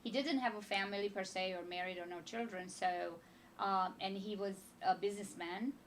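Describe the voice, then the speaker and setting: 50s woman, slight romanian accent, gravelly voice, rambly chatty interview, bit of hiss behind. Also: medium pitch, steady tone, moderate pace. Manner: rambling, with frequent fillers and restarts. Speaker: financial advisor guest. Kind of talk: casual interview chat.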